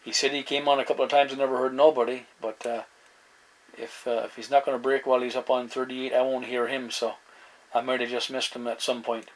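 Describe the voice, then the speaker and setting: A man in casual two-way radio chat as a hobbyist, speaking in halting phrases with radio slang. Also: medium pitch, flat delivery, background noise.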